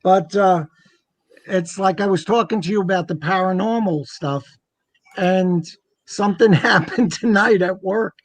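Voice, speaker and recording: A man from New York with a notably high voice chats casually as a comic radio co-host. He rambles and jokes as he tells anecdotes. The sound is dry, with no room echo. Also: a little slow. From New York, United States. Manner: joking, rambling.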